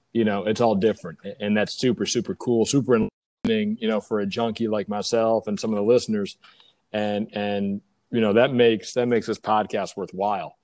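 A man's voice: lowish voice, darkish tone, no echo. A man hosting a podcast interview, conversational and enthusiastic, wordy and often doubling back on his phrases.

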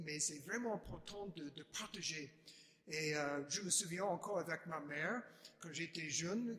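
A man from the United States with an American accent, speaking halting second-language French as a guest giving a testimony, restarting often and slipping in English words. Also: on the high side, a bit bright. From United States (Chicago). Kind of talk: unscripted personal testimony.

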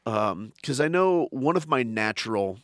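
A man who hosts a comedy podcast, telling stories in animated casual chat and acting out crowd voices. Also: medium pitch, measured pace, no echo, clean studio sound. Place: podcast studio.

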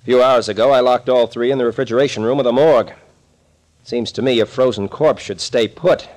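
A male actor plays a seasoned captain turned small-town constable in a 1940s radio thriller. He talks in a folksy, wry, plain way at a middling pitch, and stays unflappable. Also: brisk, darkish tone, close to an everyday voice. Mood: wry, puzzled. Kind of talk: 1940s radio drama acting.

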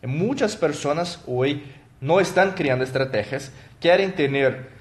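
A man whose first language is Portuguese, hosting a live stream as a motivational coach. He talks in a halting way, with many fillers and restarts, and thanks viewers by name. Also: fairly high pitch.

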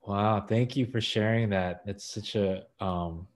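Slow, low-voiced man interviewing. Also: steady tone. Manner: halting, searching for words.

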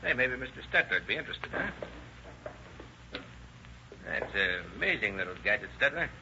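An actor playing a shrewd police lieutenant, sardonic and pressing, in an old radio crime drama interrogation. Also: male voice, medium pitch, sing-song, a little slow, vocal fry. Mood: slyly casual. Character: shrewd, needling, hard-driving male police lieutenant interrogator. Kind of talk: 1930s-1940s radio crime drama acting. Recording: dull, noisy old radio recording.

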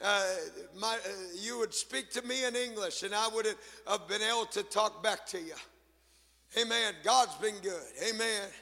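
A male pastor whose first language is Spanish, preaching with shouting, sing-song phrases and calls to the congregation. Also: sits high, normal speed, creaky, big-room sound. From Latin America. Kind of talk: sermon. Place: church sanctuary.

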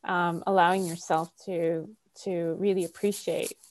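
A woman's voice: lowish voice, flat delivery, measured pace. A woman hosting a podcast in casual conversation, chatty and laughing often, with lots of fillers. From Canada. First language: Polish.

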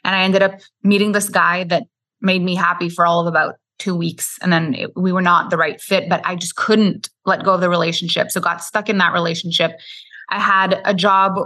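A woman's voice: lowish voice, level tone, fairly quick, sharp tone. A woman from Quebec whose first language is French, a podcast guest telling her life story in a candid, flowing way. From Quebec, Canada. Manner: candid, flowing storytelling with frequent "like" and "you know". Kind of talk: storytelling interview.

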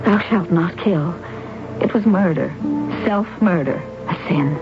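An actress playing an anguished but resolute self-sacrificing wife in an old-time radio drama, with earnest, deliberate, dramatic phrasing. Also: female voice, lowish voice. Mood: troubled, brooding. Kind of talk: old-time radio drama acting and first-person narration. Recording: muffled old radio recording, music behind.

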